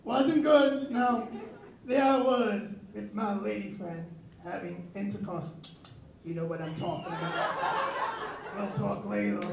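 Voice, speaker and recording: Very high, raspy-voiced young comedian. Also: male voice, unhurried, noisy background. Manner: self-mocking deadpan delivery. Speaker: stand-up comedian. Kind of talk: stand-up comedy set. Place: comedy club stage. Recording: echoey club with crowd. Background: audience laughter.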